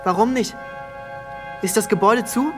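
Actor as shy teen boy, high voice, hesitant, music under. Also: moderate pace, lightly acted. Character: shy teenage boy at a bus station.

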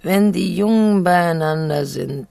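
Eine Schauspielerin spielt im Hörspiel eine bittere, spöttische alte Königinmutter, brüchig, stockend und bissig. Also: female voice, lowish voice, creaky, mellow, no room sound, exaggerated voice. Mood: bitter, mocking. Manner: halting, sardonic, biting. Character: bitter, mocking old queen mother, stooped on a cane. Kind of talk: radio drama acting.

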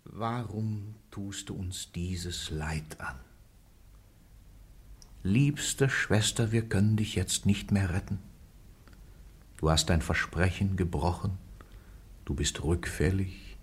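An actor playing a grave, sorrowful clergyman with a breathy voice in a radio play. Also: low voice, slow, pauses often, heavily acted. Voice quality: hushed, breathy. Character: sorrowful, grave clergyman lamenting a doomed girl. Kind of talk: German radio play acting.

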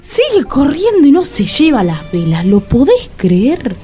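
A restless, grumpy woman on a bad date in a radio comedy. Her voice is fairly high, with a blunt, sarcastic, complaining manner over light music. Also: wildly swooping pitch, unhurried, warm tone, heavily acted. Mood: irritated, sarcastic. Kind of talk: comic radio drama acting. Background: music behind the voice.

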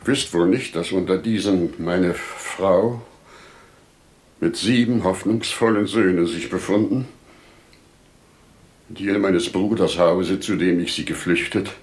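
An actor playing a wise, devout older merchant father in solemn, declamatory, deliberate 1950s radio play style. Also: deep voice. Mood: grave, confiding. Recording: 1950s radio drama recording.

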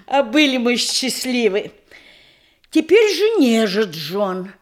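An affectionate old country matron in a radio comedy. Her voice is high and lilting, and she takes her time. She gushes in old-fashioned folksy verse, fond of the old days. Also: very animated, slow pace. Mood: merry, nostalgic. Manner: gushing, doting, old-fashioned folksy speech.

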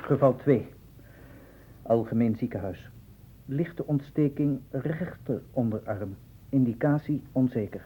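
An actor playing a deferential assistant who reports in clipped, orderly phrases, in a Dutch radio drama on an old recording. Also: male voice, a little slow, breathy edge, phone-quality sound. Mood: factual, orderly. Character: deferential male assistant reading out medical case reports. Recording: old radio recording, faint rumbling noise.

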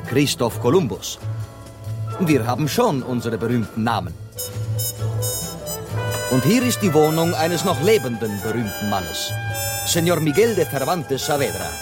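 Courteous Spanish guide, music behind. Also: male voice, mid-range voice, very animated, unhurried, long pauses. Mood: proudly explaining. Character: courteous, talkative Spanish gentleman guiding foreign visitors. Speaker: actor. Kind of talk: radio play acting.

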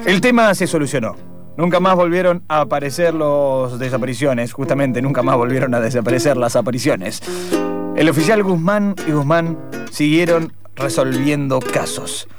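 Man doing comic play-by-play narrator, repetitive phrasing, slightly high voice, guitar backing, radio play. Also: moderate pace, close to an everyday voice. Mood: storytelling. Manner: repeats phrases, play-by-play delivery. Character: breathless football commentator turned storytelling radio narrator. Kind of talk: improvised radio comedy narration.

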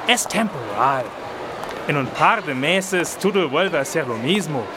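An actor playing a firm, evasive senator in a radio drama, formal and unyielding. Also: male voice, a little high, expressive, takes it slowly, background noise. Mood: firm, defensive. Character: evasive, firm politician, a senator guarding his election.

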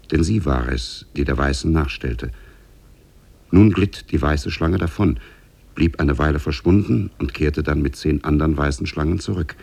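A man as a solemn storyteller narrating a 1950s radio drama, gravelly and husky. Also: rumbling low, a little mellow, full character voice. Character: solemn storyteller of Arabian Nights tales.

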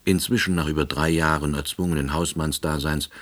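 An actor playing a withdrawn, middle-aged unemployed househusband who narrates a radio play in a dry, ruminative manner. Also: male voice, a bass voice, steady tone, fairly quick, a bit bright. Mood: brooding, resigned. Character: withdrawn, long-unemployed East Berlin househusband, quiet ruminator. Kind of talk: radio drama first-person narration.

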